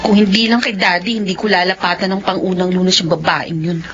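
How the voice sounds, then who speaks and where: A woman's voice: fairly low pitch, steady tone, brisk, natural voice. A woman playing a resentful young doctor daughter in an emotional Filipino radio drama. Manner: emotional, melodramatic delivery. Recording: dull lo-fi radio sound with music.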